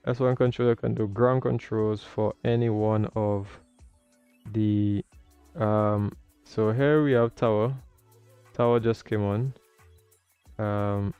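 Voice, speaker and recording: A man's voice: on the low side, mellow, smooth, no echo, muffled recording. A man hosting a livestream, talking casually as he comments and explains as a learner. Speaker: livestream host learning air traffic control.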